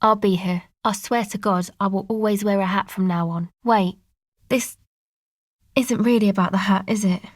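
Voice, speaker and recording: A laid-back young woman from a video game, played by an actress, with a mid-pitched voice. She talks in casual slang with a sardonic, deadpan delivery. The sound is very dry, with no room around it. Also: moderate pace, dry recording, lightly acted. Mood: subdued, casual. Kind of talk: video game character dialogue.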